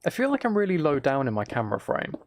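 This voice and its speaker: Mellow, gravelly man streaming quietly. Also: fast talker, slight background noise. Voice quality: flat, mellow. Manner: rambling, low-key, chatting to viewers. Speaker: live streamer. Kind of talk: casual gaming livestream chat, soft ASMR-like. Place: desk at home, live stream.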